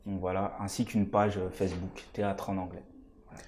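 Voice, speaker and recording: A man's voice: sits low, level tone, fairly quick, a little mellow, a little hiss or hum. A man in his twenties, an actor raised in France and born in Congo, answering interview questions in an earnest, hesitant way with many fillers. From Congo-Kinshasa, raised in France. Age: 20s. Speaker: stage actor, interview guest.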